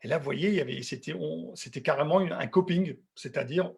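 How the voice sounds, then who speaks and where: A middle-aged man presents an informal technical webinar in a fairly high, husky voice. He speaks conversationally, as a working technician explaining his craft, and repeats words to drive points home. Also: fairly quick, a little mellow. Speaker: dental technician giving a webinar.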